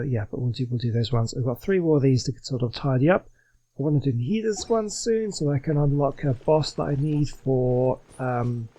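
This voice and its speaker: Mellow-voiced man chatting over gameplay. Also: a little high, rough recording. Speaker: gaming video host. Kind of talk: casual gameplay commentary.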